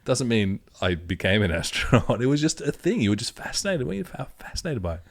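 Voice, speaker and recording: A man's voice: mid-range voice, big pitch swings, fast, no echo. A man from Syria co-hosting a podcast, chatting casually and teasing his friend. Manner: joking, teasing banter. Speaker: podcast co-host. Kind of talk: casual chat.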